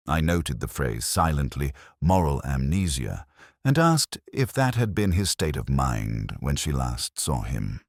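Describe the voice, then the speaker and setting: A man reading scripted literary detective fiction in the first person, in a measured, brooding and formal manner. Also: rumbling low, no room sound, studio quality. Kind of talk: scripted literary audio drama narration.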